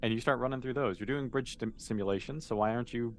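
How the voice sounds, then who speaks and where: A man leads a tabletop role-playing game in a casual, encouraging way. His voice sits at an ordinary middle pitch as he explains rules and scenes. A little noise sits behind him. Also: fast talker. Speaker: game master.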